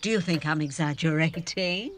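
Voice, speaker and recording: A woman's voice: low voice, normal speed, a little hiss or hum, close to an everyday voice. An actress playing a possessive, theatrical middle-aged woman clinging to her lover in an audio drama, pleading and dramatic. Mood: flattering, insistent. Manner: dramatic, pleading, swinging from hushed adoration to outbursts.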